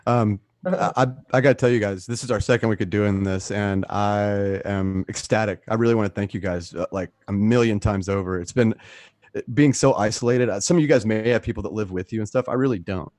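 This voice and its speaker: A man's voice: fairly low pitch, brisk, darkish tone, dry recording, studio quality. A man chatting casually on a group call, rambling and joking with some swearing. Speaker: actor in an online radio drama group.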